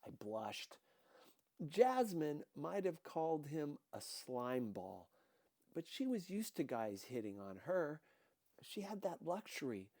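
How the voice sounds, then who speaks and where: A man's voice: on the high side, big pitch swings, slow pace, a little mellow, no room sound. A man reading a first-person novel aloud as scripted narration. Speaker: audiobook reader.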